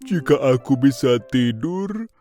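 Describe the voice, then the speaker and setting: Slow, sleepy cartoon dwarf. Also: male voice, medium pitch, expressive, warm tone, faint music behind. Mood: drowsy. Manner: drowsy, yawning drawl. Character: sleepy dwarf, drowsy and dawdling, cartoon fairy tale.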